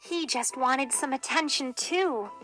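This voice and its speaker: A brave, kind young storybook heroine, acted by a woman reader, high and bright in voice. She is earnest and impulsive, speaking in exclamations. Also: a little slow, faint music behind. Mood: concerned.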